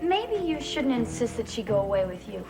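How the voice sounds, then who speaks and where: High-voiced worried woman, loud music. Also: sing-song, normal speed. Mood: concerned. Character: concerned, suspicious woman, gently urging. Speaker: actor in a TV drama. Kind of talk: melodramatic gothic soap opera acting. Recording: old TV soundtrack, loud music over voice.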